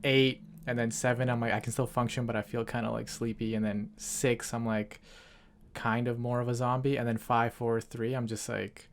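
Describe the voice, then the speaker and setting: Male host, mid-pitched, gravelly, casual chatty interviewer. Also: normal speed. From Ecuador. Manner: relaxed, rambling, lots of fillers like "like". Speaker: podcast host.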